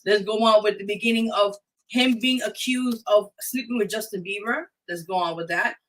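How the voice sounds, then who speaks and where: A woman's voice: medium pitch, normal speed, a bit bright, rough recording. A woman hosting a casual live talk show, speaking in an emphatic, blunt way. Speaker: radio show host.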